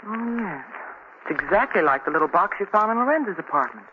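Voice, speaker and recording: A woman's voice: fairly low pitch, big pitch swings, brisk. An actress playing a refined, anxious and slightly exasperated society woman in a 1940s radio drama. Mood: uneasy. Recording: dull, faintly noisy 1940 radio recording.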